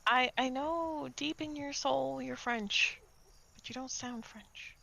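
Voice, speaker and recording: A woman's voice: high voice, slow pace, gravelly, sharp tone, low-quality recording. A woman roleplaying in character, dryly teasing another player. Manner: dry teasing, playful threats.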